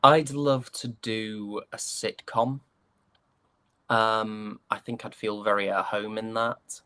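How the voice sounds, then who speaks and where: A man's voice: on the low side, steady tone, slow pace, bright, forceful tone, smooth. A British man, an actor, chatting casually as an interview guest in a joking, self-deprecating manner.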